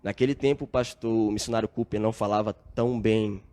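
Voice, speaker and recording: A man's voice: fairly low pitch, fairly even intonation, fast talker, a little mellow. A young Brazilian man, a podcast guest, telling his story in an earnest, reflective way with frequent filler tags. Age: young adult. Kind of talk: casual storytelling interview.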